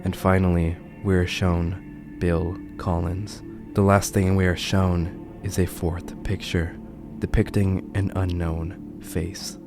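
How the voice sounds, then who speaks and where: A man narrating a scripted horror commentary video as its host, with a grave, deliberate storytelling delivery. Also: low voice, level tone. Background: music under the voice.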